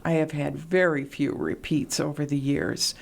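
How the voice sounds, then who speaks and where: A middle-aged woman, a radio host talking as a guest and sharing stories in relaxed conversation, with fillers. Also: sits low, moderate pace, vocal fry. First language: Latvian. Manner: warm storytelling, reflective, frequent fillers. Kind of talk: casual interview chat.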